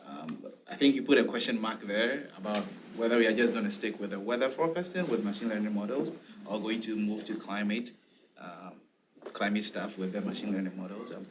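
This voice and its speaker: A man with a fairly low voice asks a polite, unscripted question from the audience. There is faint noise behind him. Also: brisk. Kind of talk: spontaneous, polite question at a talk.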